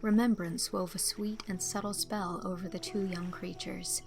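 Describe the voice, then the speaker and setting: Female audiobook narrator, mid-range voice with noticeable fry, expressive scripted storytelling over music. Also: mid-range voice, normal speed.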